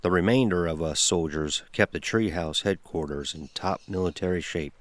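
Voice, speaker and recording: A man's voice: low voice, moderate pace, lightly acted. An actor playing a grown man telling a first-person horror story about his boyhood. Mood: reflective storytelling. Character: grown man recalling a frightening boyhood summer. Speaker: narrator.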